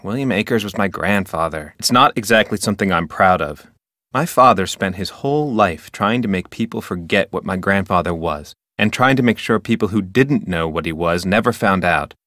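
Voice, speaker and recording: A pompous, touchy male park ranger for a video game. His voice sits a little low but rises and dips dramatically. He speaks formally, as a self-important public servant, in a very dry, close recording. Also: animated, moderate pace, sharp tone, dry recording. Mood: stiff, subdued. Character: officious, dutiful, touchy park ranger and local history curator. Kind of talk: video game character dialogue.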